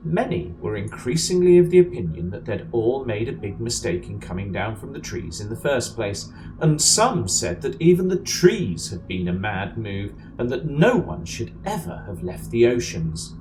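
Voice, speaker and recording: A man playing the wry, measured narrator of an amateur science fiction audio drama. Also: on the low side, very animated, darkish tone, poor audio. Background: music.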